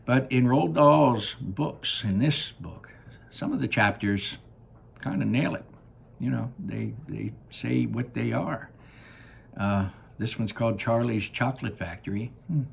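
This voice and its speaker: A man reading a children's story aloud expressively, deliberately and with dramatic pauses, dropping into casual asides. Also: mid-range voice, vocal fry, a little hiss or hum. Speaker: read-aloud host.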